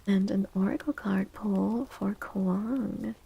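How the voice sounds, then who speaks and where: Breathy, slow, soft-spoken ASMR woman. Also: medium pitch, fairly even intonation, highs cut off. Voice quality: soft, breathy, often near whispering. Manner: gentle, unhurried, with pauses. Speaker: streamer giving tarot readings.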